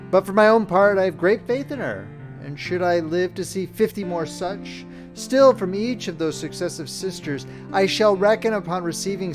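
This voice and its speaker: A man reading a literary story as an audiobook narrator, with theatrical, expressive phrasing. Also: fairly high pitch.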